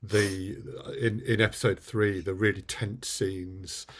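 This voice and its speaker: A man interviewing in a casual, conversational way, measured and a little hesitant. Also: on the low side, steady tone. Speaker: producer, sound designer and interviewer.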